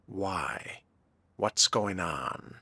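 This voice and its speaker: A man's voice: deep voice, lively intonation, extremely slow, creaky, husky. A man role-playing in character through a video game, muttering dry, sardonic commentary to himself. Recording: loud music behind the voice.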